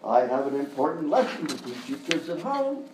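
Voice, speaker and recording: An actor playing a falsely cheerful, patronizing children's TV host in a live comedy parody. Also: male voice, on the high side, very animated, moderate pace. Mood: earnest lecturing. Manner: exaggerated jolly, patronizing. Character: forced-cheerful grown-up male children's TV show host. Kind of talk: parody of early local kids' TV, live sketch acting. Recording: roomy live stage, distant mic, faint noise.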